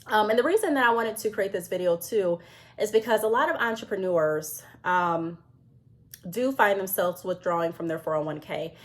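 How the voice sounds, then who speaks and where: A woman's voice: mid-range voice, moderate pace. A woman in her thirties, congested from a cold, giving casual advice to camera with frequent ums while glancing at her notes. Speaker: business vlogger.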